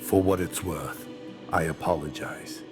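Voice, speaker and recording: Deep-voiced stoic starship captain played by a man. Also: steady tone. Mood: solemn regret. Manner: measured, formal, firm. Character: stoic, hard-bargaining male starship captain. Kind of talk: radio drama acting. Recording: loud music behind the voice.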